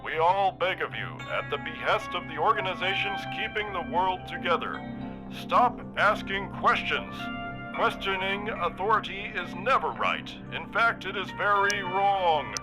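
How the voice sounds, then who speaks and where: A man's voice: high-pitched, lively intonation, unhurried, music in the background, low-quality recording. A man playing an authoritarian government spokesman, patronizing and emphatic in a scripted propaganda announcement.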